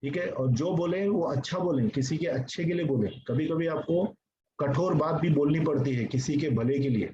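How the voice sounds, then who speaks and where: A man's voice: on the high side, normal speed, mellow. A male pastor preaching an earnest sermon, speaking directly to his congregation and slipping English phrases into his talk. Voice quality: mixes English phrases into speech. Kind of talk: sermon teaching. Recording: dull lo-fi recording with faint noise.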